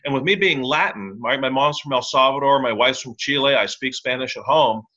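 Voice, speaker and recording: A blunt, opinionated man speaks as a guest lawyer in a podcast interview. His voice is bright and forceful, and he comes across as wry. The recording sounds slightly dull and lo-fi. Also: mid-range voice, brisk. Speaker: tax and real estate lawyer, guest.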